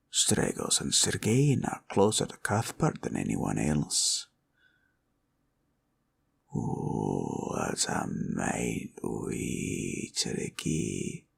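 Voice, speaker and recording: A man voicing a sly, greedy green dragon villain in a fantasy roleplay, with a husky growl and taunting, drawling delivery. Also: very deep voice, full character voice. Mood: pleased, scheming. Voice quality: gravelly, husky, breathy growl. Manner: slow, drawling, taunting, archaic turns of phrase.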